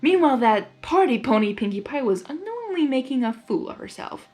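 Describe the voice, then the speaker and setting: A woman with a high, very animated voice reads a story aloud. Her delivery is informal and unrehearsed, and faint music plays underneath. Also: brisk. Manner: unrehearsed, with stumbles and amused asides. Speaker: fan fiction reader and channel host.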